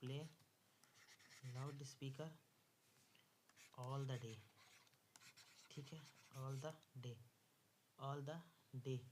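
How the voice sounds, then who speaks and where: A man's voice: medium pitch, fairly even intonation, extremely slow, long pauses, darkish tone, slight background noise. A man with an Indian accent teaching an exam lesson, dictating step by step and repeating phrases word by word as he writes. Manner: instructive, repeats phrases word by word while writing.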